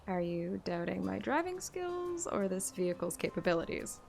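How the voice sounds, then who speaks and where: A woman's voice: medium pitch, moderate pace, vocal fry. An actress playing a playful, plucky young woman hunter, narrating and voicing a first-person game story expressively. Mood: playful, teasing. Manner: expressive, teasing. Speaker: player-character narrator. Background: background music.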